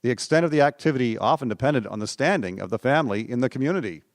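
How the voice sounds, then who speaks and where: A man's voice: medium pitch, animated, racing, heavy background noise. A man narrating scripted local history for a radio drama.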